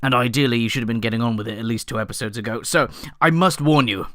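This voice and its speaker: Man voicing a bright, melodramatic undead guard. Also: medium pitch, fast talker, dry recording, studio quality, lightly acted. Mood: earnest. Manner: earnest, theatrical, emphatic. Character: dim, melodramatic undead male prison guard from the future. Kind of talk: scripted audio comedy acting.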